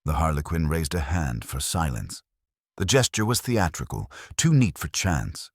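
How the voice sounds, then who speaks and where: A man narrates a detective story in a very deep voice with lively, swooping intonation. His delivery is measured and deliberate, with a husky grain to it. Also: dry recording, clean studio sound. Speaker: audiobook narrator. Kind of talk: scripted first-person detective story narration.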